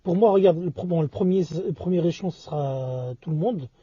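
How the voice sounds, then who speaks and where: A man in casual discussion, talking haltingly and often repeating and rephrasing himself. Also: fairly high pitch, slightly rough, no room sound. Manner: halting, repeats and reformulates phrases. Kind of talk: casual two-person discussion. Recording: dull lo-fi audio.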